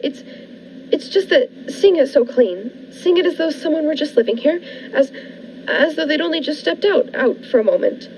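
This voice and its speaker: A woman's voice: sits high, lively intonation, moderate pace, a little mellow. A woman playing a frightened, fretful captive in a 1940s-style radio thriller, stammering and pleading in melodramatic fashion. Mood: nervous, evasive. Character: frightened, fretful woman trapped by a menacing man. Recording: dull lo-fi radio play recording with music.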